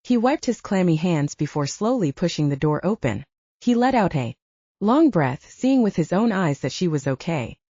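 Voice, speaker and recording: A woman narrates a novel in a mellow, slightly husky voice. She is expressive and moves freely through her range as she shifts between narration and dialogue. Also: fairly low pitch, moderate pace, dry recording, lo-fi sound. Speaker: audiobook narrator.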